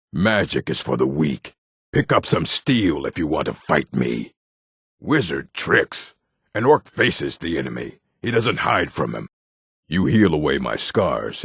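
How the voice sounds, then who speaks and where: Very low, gravelly orc warrior. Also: male voice, fairly bright tone, dry recording, clean studio sound, heavily acted. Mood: menacing. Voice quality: gravelly, husky. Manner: blunt, gruff, terse. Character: gruff, proud male orc warrior of a fantasy world. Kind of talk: video game character voice lines.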